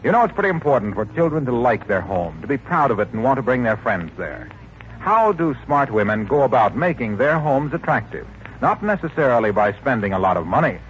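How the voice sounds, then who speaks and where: A man's voice: medium pitch, very animated, a little fast. A man announcing in polished, persuasive style, reading scripted advertising for 1940s radio. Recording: dull, faintly noisy 1940s radio recording.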